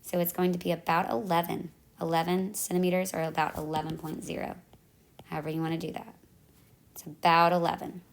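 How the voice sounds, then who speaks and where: A woman's voice: fairly low pitch, level tone, fairly quick, vocal fry, slight background noise. A woman teacher who is losing her voice, walking through math problems step by step and correcting herself as she goes. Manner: talks through calculator steps, self-correcting. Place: at home in the evening.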